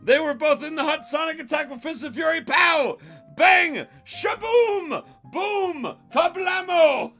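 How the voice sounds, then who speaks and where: A man's voice: extremely high pitch, animated, unhurried, pushed and bright. A man reading fan fiction aloud on a stream in a theatrical, mocking way, given to giggles and asides. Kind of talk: dramatic, mocking story reading on a casual stream. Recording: music behind the voice. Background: music.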